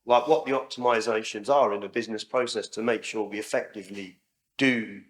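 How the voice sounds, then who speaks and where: Loud guy from the audience asking a question, chatty, says like a lot. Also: fairly low pitch, steady tone, a little fast. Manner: chatty, uses many fillers like "like" and "you know". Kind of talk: spontaneous question.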